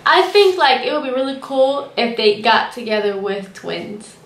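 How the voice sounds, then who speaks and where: A woman talks in a casual, conversational style. Her voice is a little high and the room sounds clearly echoey around her. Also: expressive, moderate pace. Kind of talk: casual chat.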